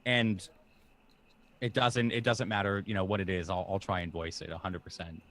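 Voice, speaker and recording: A young man who is a guest voice actor and game master, chatting casually in an animated, self-deprecating way. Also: low voice, normal speed, dry recording. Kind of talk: casual interview chat.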